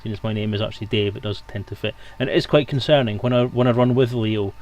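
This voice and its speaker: A man's voice: lowish voice, steady tone, quick pace, a little mellow, a little hiss or hum. A man hosting a casual stream chat with dry, self-mocking humour.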